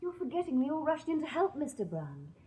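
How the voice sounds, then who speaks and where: High-voiced spirited young English lady. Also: sing-song, brisk, warm tone. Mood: challenging. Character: spirited young Victorian lady, the doctor's loyal daughter. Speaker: actress in a radio play. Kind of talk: British radio drama acting.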